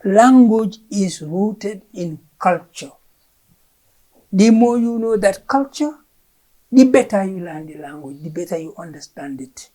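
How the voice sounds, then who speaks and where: Gambian woman, Fula native speaker, husky and mellow; an emphatic official explaining policy, repeating words mid-thought. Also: mid-range voice, animated, unhurried, low-quality recording. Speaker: curriculum official, interview guest. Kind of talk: explaining education policy in an interview.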